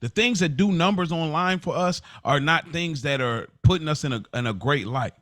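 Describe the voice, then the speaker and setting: A man's voice: on the high side, animated, moderate pace, a bit bright, no room sound, studio quality. A man co-hosting a podcast, debating casually and earnestly, often restarting and repeating his point. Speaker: podcast co-host.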